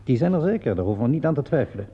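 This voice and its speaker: An actor playing a calm, sceptical police inspector in a radio play, questioning in a measured, dryly ironic way. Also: male voice, mid-range voice, husky. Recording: dull, muffled old radio recording.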